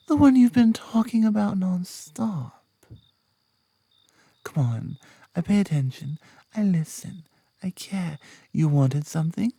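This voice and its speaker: A British woman playing a devoted, doting werewolf girlfriend in an intimate ASMR roleplay, speaking softly and tenderly close to the microphone, often slipping into whispers. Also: on the low side, gravelly, no echo, exaggerated voice. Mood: warm, eager. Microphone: close, dry microphone.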